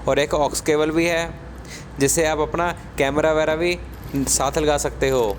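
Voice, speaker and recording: A man with a slightly high voice talks as a tech channel presenter. He explains each item step by step in a casual unboxing style. There is faint outdoor traffic noise behind him. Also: fairly even intonation, measured pace. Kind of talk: casual product unboxing narration.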